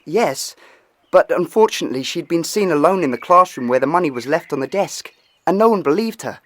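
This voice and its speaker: An actor playing an earnest, worried, well-spoken young brother in a children's audio drama. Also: male voice, on the high side, expressive, fairly quick, lightly acted.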